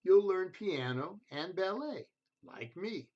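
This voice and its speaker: A man's voice: high voice, big pitch swings, slow pace, muffled recording, voice pushed up, heavily acted. A man voicing a bossy, self-doubting older sister in a children's storybook, with an emphatic way of speaking. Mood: superior.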